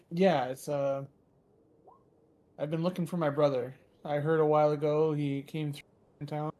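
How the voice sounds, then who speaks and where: A man's voice: a little high, level tone, a little slow, mellow. A man taking part in a tabletop roleplaying game, talking casually in character at the table.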